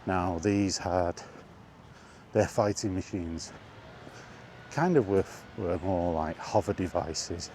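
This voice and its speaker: Slow, deep-voiced man musing. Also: darkish tone, a little hiss or hum. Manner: halting, enthusiastic, many ums and restarts. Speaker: vlogger. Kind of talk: casual rambling monologue. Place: outdoors, walking.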